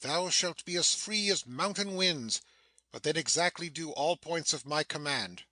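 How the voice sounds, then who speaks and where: A man's voice: fairly high pitch, measured pace, pushed and bright, very echoey, in a large hall, rough recording. A man playing a commanding older sorcerer and exiled duke in a dramatic Shakespeare audiobook.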